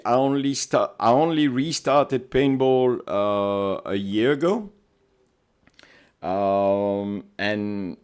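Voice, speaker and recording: A man's voice: mid-range voice, clean tone. A man from Belgium with a Belgian accent, chatting as a podcast guest and telling stories animatedly in non-native English. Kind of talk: casual interview chat.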